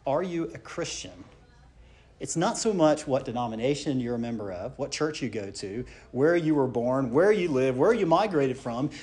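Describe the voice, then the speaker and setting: A man gives a relaxed church history lecture, with fillers, restarts and rhetorical questions. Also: medium pitch, moderate pace.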